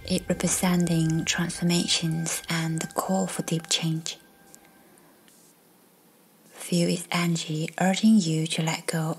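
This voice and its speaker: Woman, meditation guide, low-pitched, even tone, soft breathy near-whisper, soothing, scripted narration. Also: slight background noise, low-quality recording. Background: music.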